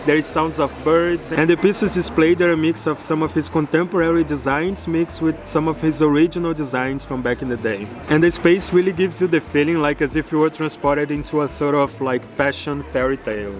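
Enthusiastic vlogger man, native Portuguese speaker. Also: on the high side. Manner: enthusiastic, explanatory, with frequent "like". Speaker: travel vlogger. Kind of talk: casual travel vlog narration. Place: outdoors on a city street. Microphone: camera held at arm's length. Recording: clear outdoor street noise.

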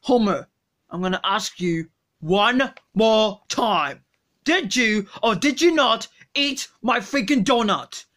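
A whiny, foul-mouthed comic puppet voiced by an amateur performer. The voice is very bright and forceful, at an unhurried pace, and keeps repeating itself in indignant exclamations. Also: medium pitch, expressive. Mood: demanding, irritated. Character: hot-tempered, whiny, foul-mouthed puppet donut lover.

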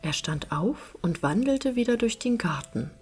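A woman reading a fairy tale as a relaxing audiobook, in a gentle, measured storytelling manner. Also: on the high side, sing-song, slightly rough. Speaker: narrator, audiobook reader. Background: music behind the voice.

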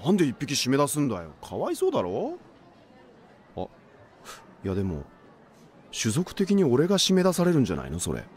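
A man acting a timid, soft-hearted big high school athlete in an anime radio drama, with an anxious, protesting manner. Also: huge pitch swings, brisk, pauses often.

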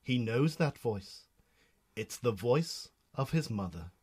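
A man narrates a radio drama in a Northern Irish accent. His voice sits at a middle pitch, and he reads scripted scene descriptions as a storyteller. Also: animated, slow pace, a little mellow, slightly rough.

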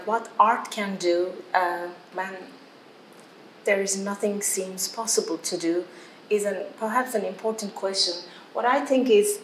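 A middle-aged woman with a Turkish accent, moderating a panel as a curator and searching for her words. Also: mid-range voice. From Turkey. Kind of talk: reflective panel discussion. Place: gallery room, indoors. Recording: echoey room, faint background noise.

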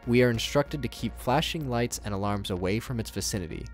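A man narrates a scripted commentary in a mid-range voice. He slips in wry, joking asides as he goes, with music playing softly underneath. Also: medium pitch, brisk. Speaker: YouTube horror series commentator. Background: music bed.

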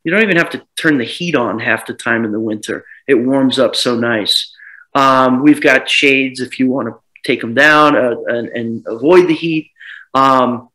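A man in his thirties from the United States, chatting on a video call about selling his home, rambling with lots of restarts and animated bursts. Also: mid-range voice, moderate pace, poor audio. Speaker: home seller. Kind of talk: casual video call chat. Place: dim living room with sofa. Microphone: laptop webcam on video call.

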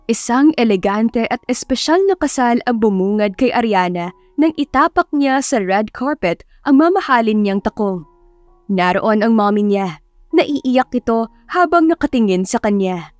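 A woman's voice: fairly high pitch, expressive, normal speed, darkish tone, dry recording. A woman narrating a scripted romance story in a dramatic audiobook style. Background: music.

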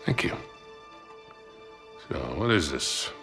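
A middle-aged American fixer in a cinematic video game. His voice is low and swings expressively up and down. He is gruff and folksy, with a wry, unhurried way of needling. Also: lively intonation, pushed and bright, faint music behind, exaggerated voice. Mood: curious, bantering. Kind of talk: naturalistic video game acting.

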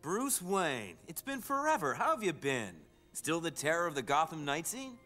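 An actor playing a callous, glad-handing company boss in an animated series, slick and self-important. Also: male voice, on the high side, moderate pace, faint music behind. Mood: hearty. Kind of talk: animated series drama acting.

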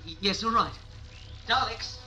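A man's voice: high voice, unhurried, pushed and bright, full character voice. A man playing a cowardly servant of alien invaders in a science-fiction audio drama, stammering and pleading. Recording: music behind the dialogue.

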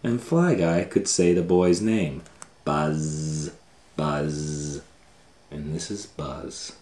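Storytime host: man, very low voice, emphatic drawn-out read-aloud delivery, roomy echo. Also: darkish tone. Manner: drawn-out emphatic phrasing with asides. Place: indoor room, reading a book on a table. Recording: roomy, echoey indoor sound.

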